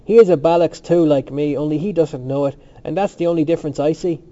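Guy reading as worked up student in a storybook, boastful n eager, very dark soft-edged tone. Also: fairly high pitch, fast, lo-fi sound, lightly acted. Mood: despairing, loud. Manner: eager, insistent declaiming. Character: Temple, excitable male student, eager, boastful, defiant.

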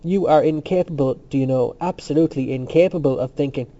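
A man voicing a gruff, scornful male student in a story, speaking vehemently and rudely. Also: on the high side, quick pace, mellow, lo-fi sound, natural voice.

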